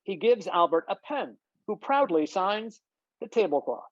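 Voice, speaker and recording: A woman's voice: mid-range voice, big pitch swings, unhurried, no room sound. A woman reading stage directions as scripted narration at a table read, on slightly dull video-call audio.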